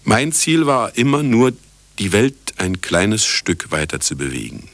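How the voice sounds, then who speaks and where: A sardonic, grandiose broadcaster in a radio drama, lecturing the listeners. His deep voice is gravelly and swoops theatrically from line to line, mocking as it goes. Some ambient noise sits under the speech. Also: very animated, measured pace, fairly bright tone, no room sound, heavily acted. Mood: indignant, mocking. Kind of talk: radio play monologue.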